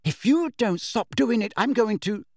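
A man voicing a bossy, righteous teenage schoolgirl with a forceful, scolding manner in an audiobook. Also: a little high, sing-song, normal speed, husky, no echo, clean studio sound, pitched above the performer's own voice, heavily acted. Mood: indignant, scolding.

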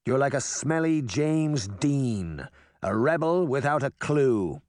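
A man voicing a menacing magic-mirror villain who mocks in a slow, theatrical way. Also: mid-range voice, big pitch swings, darkish tone, dry recording, studio quality. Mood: mocking.

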